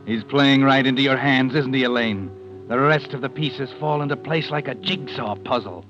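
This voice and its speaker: A man's voice: medium pitch, normal speed, close to an everyday voice. Sardonic male narrator, played by an actor, cool and ominous, old radio mystery, dull lo-fi sound, music behind.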